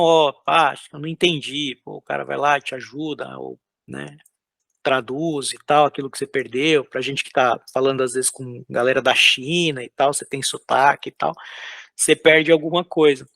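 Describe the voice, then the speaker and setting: A man from São Paulo, a concept artist, chatting casually in an interview while drawing, with loose, halting speech full of fillers. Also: medium pitch, fairly even intonation, creaky, a bit bright, no echo. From São Paulo, Brazil. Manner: loose, hesitant, lots of filler words and restarts.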